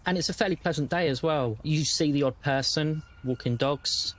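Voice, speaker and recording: A man with a British accent narrating a tabletop game as game master in a relaxed, conversational way. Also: a little high, moderate pace.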